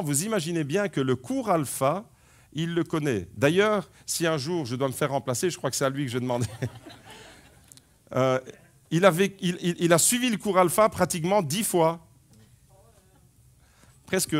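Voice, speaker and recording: A man leading a baptism service as pastor, joking warmly with the congregation between blessings. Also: on the high side. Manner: jovial, joking, enthusiastic.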